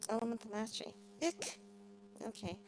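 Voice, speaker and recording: A woman's voice: medium pitch, steady tone, normal speed, noisy background. A woman streaming a game with casual commentary, thinking aloud in a rambling, hesitant way. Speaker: streamer, vtuber host. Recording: game music playing behind the voice. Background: video game music.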